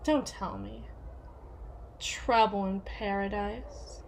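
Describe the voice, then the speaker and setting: A woman's voice: medium pitch, very animated, slow, darkish tone, a little hiss or hum, poor audio. A woman playing a domineering, jealous yandere with biting sarcasm and a taunting, theatrical manner in an ASMR roleplay. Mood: sardonic, teasing. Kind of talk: ASMR roleplay audio drama.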